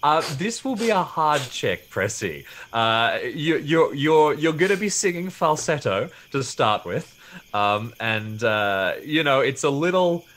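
A man's voice: mid-range voice, a bit bright. Young man running a tabletop game. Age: young adult. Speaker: game master. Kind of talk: narrating a tabletop horror game. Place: small room at home. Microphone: video call setup.

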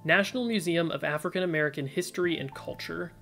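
A man's voice: a little high, fast, vocal fry, sharp tone. Young man, casual puzzle streamer, tentative thinking aloud, faint music behind. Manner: reads chat suggestions aloud, tentative musing. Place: indoor room at desk.

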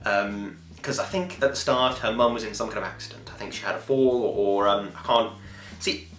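A man's voice: on the low side, brisk, a bit bright, a little hiss or hum. A man in his twenties giving a casual, rambling, enthusiastic review to camera, with a self-deprecating and digressive manner. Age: 20s. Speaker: vlogger and podcast host. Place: small home room, indoors. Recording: echoey room with music behind.

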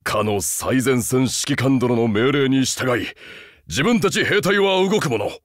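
A man's voice: medium pitch, lively intonation, a little slow, dry recording, clean studio sound, lightly acted. A man voicing a huge, loyal, humble beast-man soldier in a game, haunted and earnest, speaking with stiff archaic military formality. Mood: stiff, dutiful. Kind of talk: Japanese game character voice acting.

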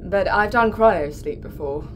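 A woman's voice: mid-range voice, a little slow, faint music behind, lightly acted. An actress playing a reserved, guarded female psychologist in a naturalistic space audio drama. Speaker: crew psychologist. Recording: clear ambient noise behind.

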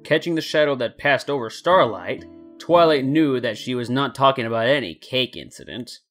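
A man's voice: medium pitch, moderate pace, a bit bright, soft background music. A man narrating fan fiction as a scripted story.

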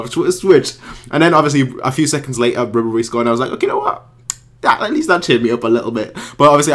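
A man's voice: lowish voice, fairly bright tone. A young man giving a casual post-match review from a small bedroom, often repeating words and restarting sentences. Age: young adult. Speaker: football fan vlogger. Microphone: webcam at desk.

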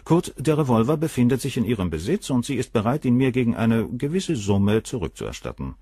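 An actor playing a cold, condescending, articulate professor with a husky voice in a radio crime drama. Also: male voice, mid-range voice, very animated, brisk, mellow, no echo, clean studio sound. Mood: coolly matter-of-fact. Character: cold, haughty, gaunt male professor, a famous doctor.

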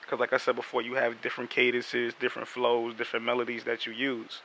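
A laid-back man hosting a hip hop interview from a small room at home, speaking through a headset. His tone is very bright and sharp, with a mid-range pitch for a man. He talks conversationally and drops fillers as he shapes each question. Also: completely flat delivery, fast, a little hiss or hum, poor audio. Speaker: interview host.

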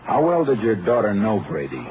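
Low-voiced lawman on tinny old radio. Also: sing-song, brisk. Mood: calm, probing. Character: calm, businesslike Texas Ranger investigator. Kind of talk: 1950s radio drama acting. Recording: noisy, tinny 1950s radio recording.

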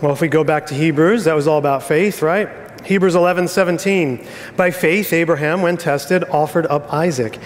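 Casting: American male pastor, middle-aged, slightly high voice, informal joking delivery, reverberant auditorium. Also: moderate pace, slight background noise. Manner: informal, joking, checks in with "right?". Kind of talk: conversational sermon. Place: on stage in a church auditorium. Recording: reverberant hall sound.

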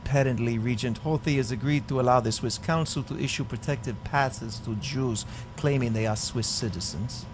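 An actor playing a dutiful Nazi subordinate officer in a film drama, reporting figures in a formal, factual manner. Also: male voice, medium pitch, moderate pace, few pauses, lightly acted. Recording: film clip with clear background noise.

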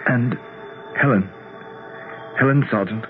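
Guy playing a fallen immortal prince, halting n theatrical, slow, old tinny radio drama. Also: mid-range voice, faint music behind, close to an everyday voice. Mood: resigned. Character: fallen immortal prince, proud god stripped of power. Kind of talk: 1970s radio drama acting. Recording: noisy, narrowband old radio recording.

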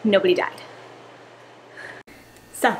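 A woman's voice: takes it slowly, slight background noise. A young woman vlogging casually in her home kitchen, chatty and laughing at her own slips, recorded by a camera on the counter. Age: young adult. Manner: chatty, self-correcting, laughs at herself.

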